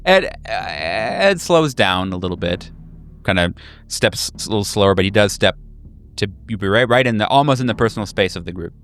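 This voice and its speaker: A man narrating a horror tabletop game as game master, improvising haltingly and searching for words. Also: fairly low pitch, lively intonation, fairly bright tone. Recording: music behind. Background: clear background music.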